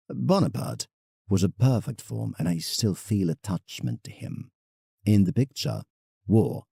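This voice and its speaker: A man's voice: sits low, gravelly, dark tone, slightly rough, no echo, studio quality, heavily acted. A man playing a proud, reflective English landscape painter in an audio drama, telling his travels formally as a letter to a friend.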